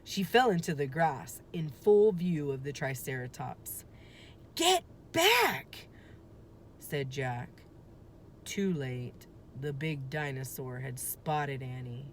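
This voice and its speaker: A woman reading a children's storybook aloud as a teacher would, narrating the story. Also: very deep voice. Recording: faint background noise.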